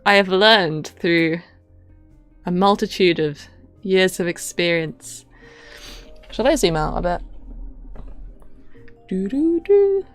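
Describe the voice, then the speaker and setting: Rambling woman streamer, deep-ish voice. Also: animated, takes it slowly, long pauses, soft background music. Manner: rambling, self-mocking, hums little tunes. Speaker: livestreamer. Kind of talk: casual game-stream chat.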